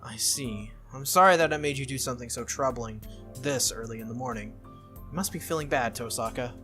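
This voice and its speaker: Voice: mid-range voice, brisk, fairly bright tone, soft background music, natural voice. A game reader voicing an earnest, easily flustered teenage schoolboy from an anime visual novel, apologetic and exclaiming.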